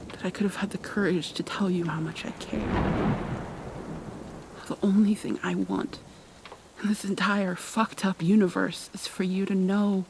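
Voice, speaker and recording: A woman playing a tender, obsessive female kidnapper soothing her captive in an intimate ASMR roleplay, speaking softly and haltingly, often in breathy whispers. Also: mid-range voice, close to an everyday voice. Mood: loving, earnest. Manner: hesitant, halting, coaxing. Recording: clear background noise, rain ambience.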